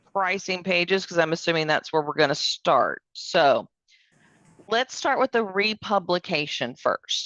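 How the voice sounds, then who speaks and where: A woman, hoarse from allergies, explaining a proposal step by step over a video call. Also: lowish voice, a bit bright, dry recording, lo-fi sound. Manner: explanatory, step-by-step, uses fillers. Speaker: code publishing vendor presenting remotely. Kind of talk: remote business presentation.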